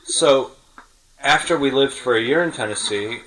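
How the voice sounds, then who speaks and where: A man's voice: medium pitch, normal speed, a bit bright, slight background noise, poor audio. A middle-aged man hosting a podcast, chatting casually and telling detailed stories from memory. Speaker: podcast host. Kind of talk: casual reminiscing conversation.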